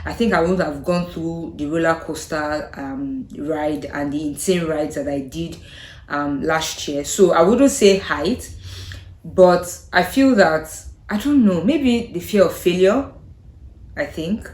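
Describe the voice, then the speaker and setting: A young Nigerian woman, a native Yoruba speaker, chatting casually to camera in her vlog with a Nigerian accent, thoughtful and hesitant, often trailing off softly. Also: low voice, takes it slowly. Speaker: vlogger. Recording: echoey room.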